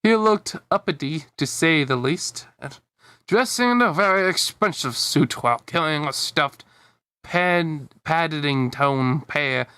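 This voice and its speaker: A man's voice: a little high, unhurried, pushed and bright, clear, resonant tone, no room sound. A man reading a story aloud casually as a fan fiction narrator, dropping in his own offhand asides.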